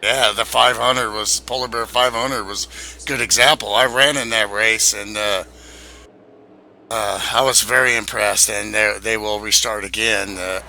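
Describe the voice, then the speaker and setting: A man co-commentating a sim race he also drives in, relaxed and hesitant with fillers. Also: lowish voice, measured pace, gravelly, slight background noise. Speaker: co-commentator and racer. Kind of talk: sim racing broadcast commentary.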